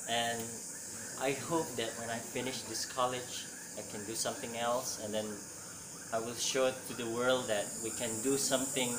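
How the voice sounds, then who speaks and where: A man's voice: medium pitch, echoey room, noisy background. A young man from Sabah, a college student introducing himself to camera. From Tawau, Sabah, Malaysia. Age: young adult.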